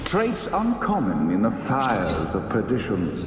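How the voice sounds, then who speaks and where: An actor playing a grandiose stage narrator in a video game, declaiming florid lines in a measured, dramatic way. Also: male voice, lowish voice, huge pitch swings, over loud music. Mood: grand, ominous. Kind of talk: theatrical scripted game narration. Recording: echoey hall, distant, noisy backdrop.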